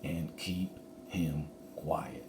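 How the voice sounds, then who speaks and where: A man voicing a calm, commanding cowboy leader in a storybook, giving clipped orders in a hushed voice. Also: rumbling low, very slow, voice pushed down, exaggerated voice. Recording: roomy echo, faint background noise.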